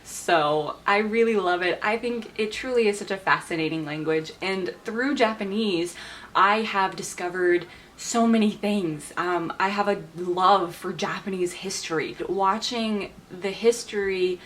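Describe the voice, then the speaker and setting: A chatty woman in her twenties talks to camera in a casual vlog, her voice at an ordinary middle pitch. She sounds enthusiastic and laughs easily between her points. A faint hiss of noise sits under the recording. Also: measured pace, fairly bright tone. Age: 20s. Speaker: vlogger.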